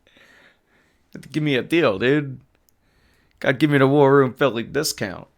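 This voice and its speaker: A man hosting a live sports talk stream, casually answering chat and arguing football. His voice is hoarse, and he gets emphatic when he runs through stats. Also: mid-range voice, unhurried. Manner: animated and emphatic, rattling off stats. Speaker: livestream sports show host.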